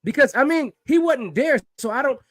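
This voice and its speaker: A man's voice: extremely high pitch, animated, normal speed, a bit bright, no room sound, studio quality. A man from the Caribbean co-hosting a podcast in casual chat, easygoing and a bit tired, often stammering. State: tired after work. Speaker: podcast co-host.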